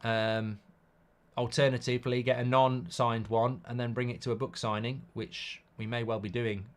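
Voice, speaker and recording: British man with a slightly croaky voice. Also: mid-range voice, flat delivery, normal speed. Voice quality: slightly croaky, bunged-up nose. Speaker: host of a live football watchalong stream. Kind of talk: casual live commentary mixed with chat replies.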